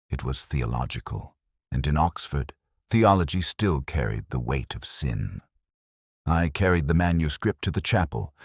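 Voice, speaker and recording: A man reading a scripted first-person detective story in a measured, deliberate, brooding way. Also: a bass voice, breathy edge, no room sound, studio quality. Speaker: narrator.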